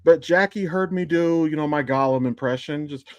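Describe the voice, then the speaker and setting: A man's voice: fairly high pitch, moderate pace, no room sound, lo-fi sound. Rambling man in casual podcast chat, dry recording. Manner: rambling, digressive storyteller. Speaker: podcast co-host and amateur voice actor.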